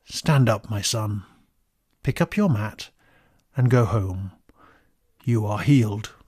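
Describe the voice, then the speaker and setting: A man voicing a calm, authoritative religious teacher and healer in a storybook reading, deliberate and commanding. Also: on the low side, breathy edge, no echo, clean studio sound. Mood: commanding, tender.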